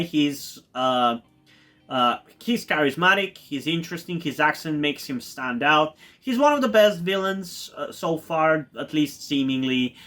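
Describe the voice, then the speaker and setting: A man from Bulgaria, with Bulgarian as his first language, rambles through a TV review in a slightly raised voice. He stumbles over fillers and restarts, then piles on eager repeated words when something excites him. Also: fairly high pitch. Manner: enthusiastic, repeats words for emphasis. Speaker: TV review YouTuber. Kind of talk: casual spoken TV review.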